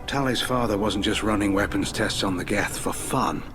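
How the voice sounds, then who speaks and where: An actor playing a blunt, wry, middle-aged alien admiral and hawkish war veteran in a video game. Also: male voice, lowish voice, normal speed, close to an everyday voice. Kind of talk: video game dialogue acting. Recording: loud music behind the voice. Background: music.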